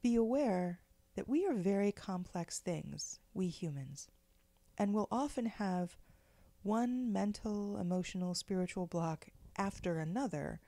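A woman leading a guided meditation in a gentle, measured, soothing way, with pauses between phrases. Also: medium pitch, no room sound. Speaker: guided meditation leader, spiritual director.

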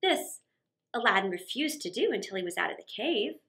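A middle-aged woman reading a children's story aloud in a theatrical, expressive narrating style. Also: medium pitch, brisk. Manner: theatrical, expressive, with gasps and sound words.

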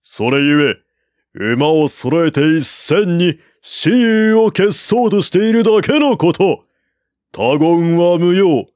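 A man's voice: on the high side, animated, takes it slowly, a little mellow, no room sound, studio quality, voice pushed up. A man reading aloud voices a defiant male general of an ancient army, declaiming his challenge in a raised voice. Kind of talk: audiobook reading of a classical war novel.

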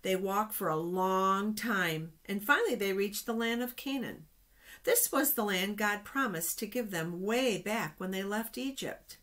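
A middle-aged woman reading Bible stories aloud to children in an expressive, explanatory way. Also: medium pitch, a little slow. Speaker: children's storytime reader. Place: small office, indoors. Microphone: webcam at desk distance.

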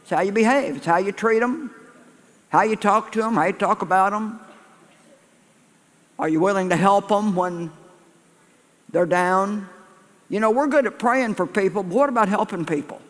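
An older man preaches to a packed church in a high, light voice, folksy and conversational. He restarts phrases and circles back to hammer a point home. His voice rings out across a long-reverb sanctuary. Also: moderate pace, pauses often. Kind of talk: sermon to a live congregation. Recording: echoey church hall.

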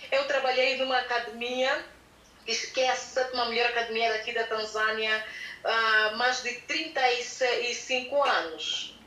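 A woman working as a consecutive interpreter, halting at times as she searches for words. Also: fairly high pitch, fairly even intonation, pushed and bright. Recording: echoey room sound.

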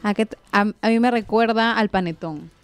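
A woman's voice: mid-range voice, normal speed, clear, resonant tone. A woman in her twenties with a Peruvian accent, hosting a casual live radio chat in a playful, informal manner. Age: 20s. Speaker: radio host. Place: small radio studio, indoors. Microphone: studio microphone on boom arm.

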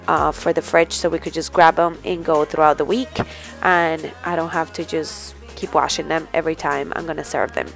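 A young woman and mother chatting casually to the camera in her home kitchen, relaxed and a little rambling. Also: fairly low pitch. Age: young adult. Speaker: vlogger, mom.

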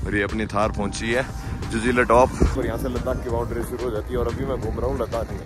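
A man in his thirties, chatty and upbeat, vlogging to his phone held at arm's length on a high mountain roadside. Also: little pitch movement, fast talker, over music. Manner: chatty, upbeat, pointing out sights to viewers. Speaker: travel vlogger. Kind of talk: casual travel vlog to camera.

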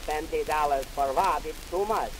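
Crackly 1920s 78 comedy record, guy doing a high-pitched grumbling wisecracker with yiddish accent, brash and cheap. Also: normal speed, natural voice. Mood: grumbling, wisecracking. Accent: Yiddish-inflected English. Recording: hissy, noisy 1920s 78 rpm record.

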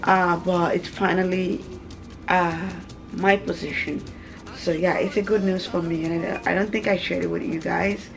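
A woman's voice: on the low side, fairly even intonation, normal speed, vocal fry, music in the background. A woman in her thirties chatting casually to a camera at arm's length in a home kitchen, repeating words and drifting into asides. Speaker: family vlogger and mother. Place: small home kitchen, indoors. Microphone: camera held at arm's length.

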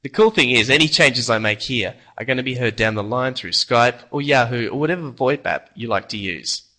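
A young man narrating a scripted software tutorial in a casual, playful manner. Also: medium pitch, brisk. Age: young adult.

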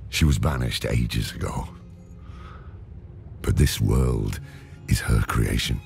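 A man playing a gruff, world-weary old hermit scholar with a dry wit, in a dramatic video game cutscene. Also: full character voice. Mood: grave. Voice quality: very deep, gravelly. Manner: slow, weighty, sardonic. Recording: loud music behind the voice.

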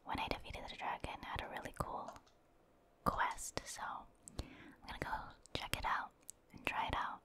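A woman's voice: normal speed, bright, forceful tone. A woman whispering ASMR commentary as she plays a video game, thinking aloud in a hesitant, unscripted way.

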